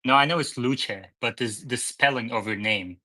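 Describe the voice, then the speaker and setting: A man's voice: mid-range voice, normal speed, pushed and bright. A man hosting a live stream discussion, speaking informally and thinking aloud as he moderates. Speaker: host.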